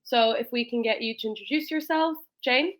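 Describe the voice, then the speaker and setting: Higher-voiced woman hosting a webinar. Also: fairly quick, fairly bright tone. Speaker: webinar host, marketing manager.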